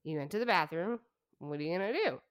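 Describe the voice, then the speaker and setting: A woman's voice: fairly low pitch, lively intonation, fast talker. A woman co-hosting a podcast, telling a story in casual chat with fillers and laughs. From Canada. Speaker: podcast co-host.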